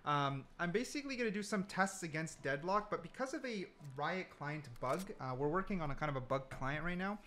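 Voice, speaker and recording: A man's voice: a little high, lively intonation, brisk, hardly any pauses, a bit bright. A man giving casual, slangy gameplay commentary, thinking aloud as he goes. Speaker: gaming content creator.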